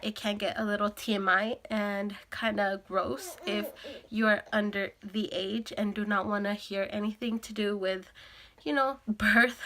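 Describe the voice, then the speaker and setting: A woman's voice: mid-range voice, a bit bright. A young woman vlogger with a sore throat and a congested nose, chatting casually to camera with lots of fillers. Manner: chatty, with frequent fillers and laughs.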